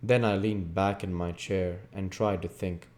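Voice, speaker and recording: A man with a low, soft-edged and mellow voice reads literary fiction aloud. He narrates it in audiobook style, in a dark, relaxed tone. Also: a little slow. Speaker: reader of a video book series. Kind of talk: audiobook-style reading of a classic novel.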